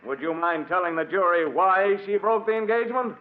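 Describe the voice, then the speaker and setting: An actor playing a stern, sceptical prosecutor questioning formally in 1940s radio drama style. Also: male voice, sits high, expressive. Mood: accusing, sceptical. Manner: formal, accusing, deliberate. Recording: tinny, narrow old radio recording.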